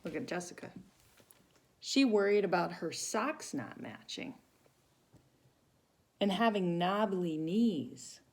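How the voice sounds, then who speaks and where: A woman reading a children's story aloud expressively, pausing to chat with young listeners. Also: fairly low pitch, animated. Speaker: volunteer storybook reader for children.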